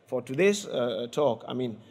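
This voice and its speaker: A man giving a conference presentation in a halting way, with many fillers and repeated words. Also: medium pitch, animated, a little mellow, slightly rough. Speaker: conference speaker. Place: conference hall.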